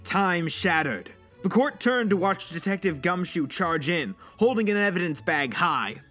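A man's voice: sits high, expressive, a little slow, sharp tone. A man narrating an audio story in a dramatic, scripted style. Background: music.

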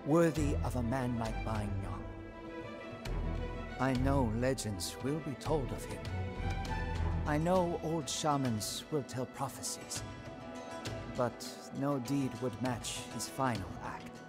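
A man plays a solemn, reverent prince in a video game cutscene. His delivery is slow, grave and emphatic, set against very loud music. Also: medium pitch, natural voice. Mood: solemn, proud. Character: solemn, reverent prince honoring his dead conqueror father. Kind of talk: dramatic scripted video game narration.

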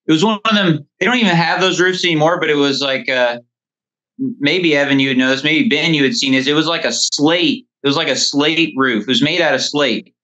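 Bright-voiced man on a dull phone line. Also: fairly high pitch, fairly quick. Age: 30s. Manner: rambling, frequent "you know". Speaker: podcast guest, lawn care business owner. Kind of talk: casual interview storytelling. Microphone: phone call. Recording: dull, band-limited phone call.

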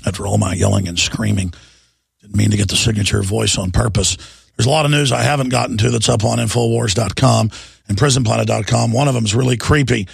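A middle-aged man hosting a talk radio show, hoarse after an illness, talking in a relaxed, rambling way and repeating words as he reads ads and news. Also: on the low side, fast, no echo, studio quality. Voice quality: gravelly, raspy. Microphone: studio microphone.

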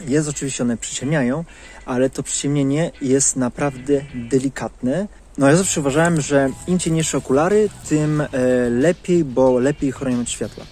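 A man's voice: mid-range voice, moderate pace, darkish tone, slight background noise. A man in his thirties giving a casual product review to camera, recorded outdoors on a lapel mic. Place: outdoors in leafy woodland. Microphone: clip-on lapel mic.

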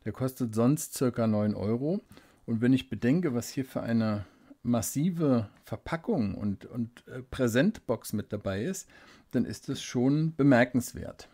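A man with a dark, mellow voice talks through an unboxing at his desk, close to a studio microphone. He thinks aloud at an unhurried pace and drops small fillers as he handles the package. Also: medium pitch. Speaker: fountain pen reviewer. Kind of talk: casual unboxing commentary. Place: indoors at a desk, hands-only camera.

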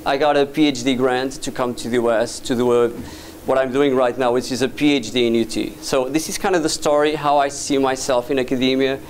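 A man from Portugal in his thirties giving a casual, halting presentation in a lecture hall, full of fillers and restarts, recorded from a camera at the back of the room. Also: medium pitch, steady tone, slight background noise. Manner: hesitant, many fillers and restarts. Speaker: guest speaker, VJ and doctoral student. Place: dim lecture hall with projector screen. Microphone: far camera at back of room.

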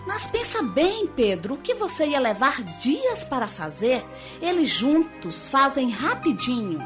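An actress playing a sensible Brazilian farmer's wife in a radio soap, reproachful and persuasive. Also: female voice, high-pitched, very animated, unhurried, a bit bright, soft background music, heavily acted. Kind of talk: radio soap opera acting.

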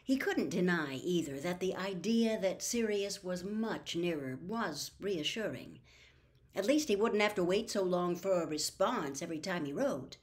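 An older woman in her sixties or beyond reading a storybook aloud with expressive, dramatic phrasing. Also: on the low side, moderate pace. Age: 60s or older. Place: small book-lined room at home.

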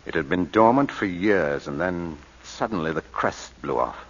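An actor playing a serious, guarded male lawyer, speaking in a measured and earnest way in 1940s radio acting style. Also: sits low, lively intonation. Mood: reflective. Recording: dull old radio broadcast, faint hiss.

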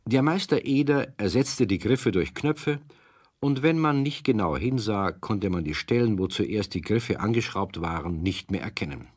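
Guy narrating a kids story, lowish husky voice, really expressive. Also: moderate pace. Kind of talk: scripted storytelling for children.

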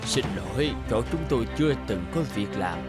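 A man's voice: fairly low pitch, lively intonation, normal speed, natural voice. An actor playing a sly male master of a hellish palace in a cartoon folk tale, with courteous, theatrical delivery. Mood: polite refusal. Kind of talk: animated folk tale dubbing. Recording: loud music behind the voice.

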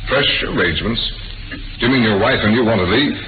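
An actor playing a genial young baronet in an old-time radio drama, courteous and a little hesitant. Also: male voice, lowish voice, a little fast, noisy background, natural voice. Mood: concerned. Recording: muffled vintage radio recording with loud music.

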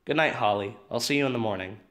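A man's voice: mid-range voice, fast, fairly bright tone, close to an everyday voice. A man playing a curious young friend in a scripted drama, speaking plainly and questioningly. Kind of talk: scripted audio drama dialogue.

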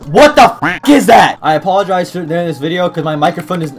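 Brief: young male streamer, a bit high-pitched, hoarse, cursing at his desk. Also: quick pace, faint music behind. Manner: swears and shouts in frustration. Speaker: gaming YouTuber. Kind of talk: webcam gameplay commentary. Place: small room at a desk. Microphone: desk mic beside keyboard, wearing headset.

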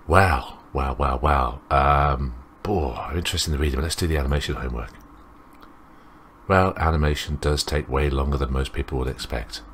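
An extremely deep male voice narrates a visual novel for a let's play. He rambles and breaks off to comment on what he reads, over faint noise of clicks and typing. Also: normal speed, a bit bright. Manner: rambling, self-interrupting. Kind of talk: reading a visual novel aloud with off-the-cuff commentary.

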